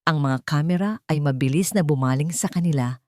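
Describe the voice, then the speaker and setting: A woman's voice: low voice, fairly quick, a little mellow, no echo, studio quality. A woman with a Filipino accent narrating a scripted dramatic story.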